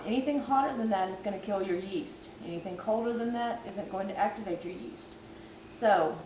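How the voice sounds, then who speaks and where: A woman who is losing her voice gives a chatty, self-deprecating cooking tutorial at home. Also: mid-range voice. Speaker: vlogger. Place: home kitchen at night. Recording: echoey kitchen, far from camera, background noise.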